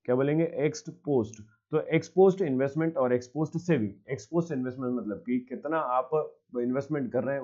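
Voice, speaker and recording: A man's voice: medium pitch, a little mellow, muffled recording. A male commerce teacher giving a recorded exam-prep lecture, explaining step by step and answering his own questions. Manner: mixes English terms into his speech.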